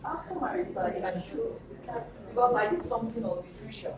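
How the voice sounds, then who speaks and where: A woman's voice: fairly high pitch, lively intonation, fairly quick. A woman with a Nigerian accent, a journalist speaking spontaneously from the floor in a workshop discussion. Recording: echoey room, distant mic, clear noise.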